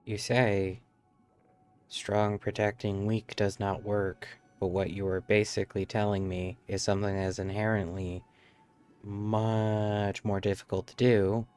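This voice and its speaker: A man's voice: on the low side, level tone, measured pace, darkish tone, smooth, soft background music. A man livestreaming a game, giving casual, skeptical commentary and arguing back at the story. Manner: argumentative, skeptical.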